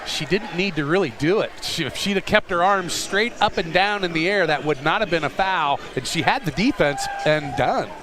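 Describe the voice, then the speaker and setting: A man's voice: on the high side, lively intonation, moderate pace, few pauses, a crowd in the background. An American man giving plain-spoken color commentary and critique during a live basketball game. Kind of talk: sports analysis commentary. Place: indoor high school gymnasium. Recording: noisy gym background. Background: gym noise.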